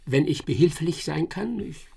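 An actor playing a proud, sharp-witted English master detective in a radio drama, self-assured and lecturing. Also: male voice, fairly high pitch, animated, normal speed, vocal fry, breathy edge, full character voice. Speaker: detective Herlock Sholmes.

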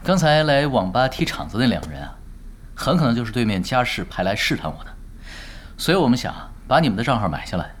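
A man's voice: mid-range voice, very animated, quick pace, slight background noise, natural voice. An actor playing an unflappable, teasing young male pro gamer in a Chinese audio drama, with a laid-back, drily cocky manner. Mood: calm, confiding.

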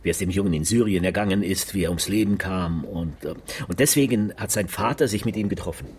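A man's voice: low-pitched, fairly quick, a little mellow, a little hiss or hum, lightly acted. An actor playing a reflective male police investigator in a radio drama, speaking in a thoughtful, conversational way. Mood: pensive. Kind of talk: German radio drama acting.

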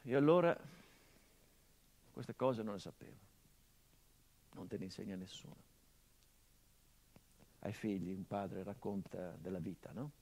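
A man with an Italian accent acting in a radio drama as a grieving grown son, speaking a theatrical monologue that swings from quiet and low to impassioned outbursts. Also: a little slow, pauses often, poor audio. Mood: bitter. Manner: intense, builds to impassioned outbursts then drops low.

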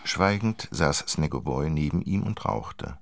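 Very deep, gravelly male narrator. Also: animated, unhurried, dry recording. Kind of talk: dramatic literary narration for a radio play.

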